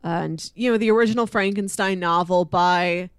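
A woman's voice: on the low side, moderate pace, smooth, dry recording, studio quality. A woman co-hosting in casual chat, telling things in an informal, chatty way. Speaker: podcast co-host. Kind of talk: casual chat recommending shows.

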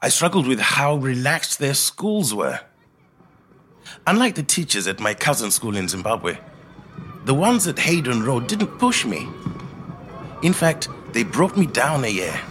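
Expressive, reflective middle-aged Zimbabwean man. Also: mid-range voice, a little slow, long pauses, a little hiss or hum. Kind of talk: scripted autobiographical monologue, storytelling.

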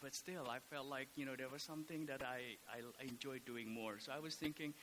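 Bright-voiced priest from Indonesia. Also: male voice, mid-range voice, steady tone, a little hiss or hum. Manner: halting, many fillers and restarts. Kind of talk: casual podcast talk.

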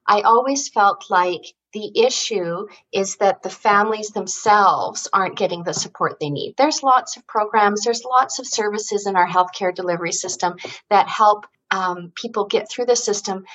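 A woman's voice: mid-range voice, a bit bright, poor audio. A woman from Canada, a former physiotherapist who now runs an advisory service for seniors, explaining her work fluently and earnestly in an interview. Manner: articulate and earnest, fluent explanation with occasional fillers. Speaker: guest, senior care advisory service founder. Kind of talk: interview answers.

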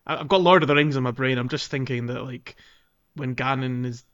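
A man's voice: medium pitch, fairly even intonation, a little fast, a bit bright. Man co-hosting a podcast, dull lo-fi sound. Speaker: podcast co-host. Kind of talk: casual chat about a video game.

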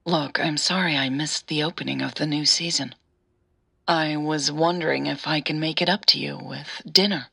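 A polite, tentative woman caller, acted by a woman in an audio drama. She speaks softly and apologetically, with a gravelly edge and a bright tone. The sound is dry and close. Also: low voice, fairly even intonation, normal speed, no room sound, heavily acted. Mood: apologetic. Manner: hesitant, apologetic, soft-spoken.

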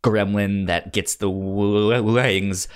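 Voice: low-pitched, fairly even intonation, slow pace, smooth. A podcast co-host chatting casually about films, often stammering and repeating the first sounds of words. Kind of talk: casual film discussion chat.

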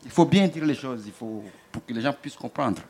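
A medium-pitched man from Africa with a francophone African accent. He speaks in a colloquial, insistent style, putting his views plainly in a conversation. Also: lively intonation, a little fast, a little mellow, slight background noise. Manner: emphatic, colloquial, plain-spoken. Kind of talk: animated panel conversation.

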